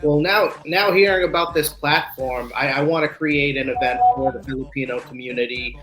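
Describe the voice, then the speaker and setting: A man's voice: medium pitch, normal speed, a bit bright, soft background music. A man speaking as a guest in a casual interview, earnest and hesitant with fillers. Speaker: guest, community event organizer.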